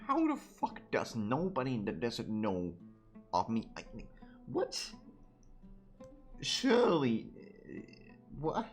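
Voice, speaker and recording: Male game streamer: lively swooping delivery, irreverent off-the-cuff commentary, repetitive muttered asides, music in the background. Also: mid-range voice, slow pace, pauses often. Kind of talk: casual live gameplay commentary.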